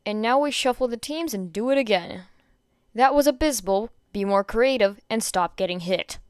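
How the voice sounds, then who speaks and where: A woman voicing a blunt, unimpressed male teacher in a story reading, curt and deadpan. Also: on the high side, normal speed, clear, resonant tone, dry recording, clean studio sound, close to an everyday voice. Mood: unimpressed, stern.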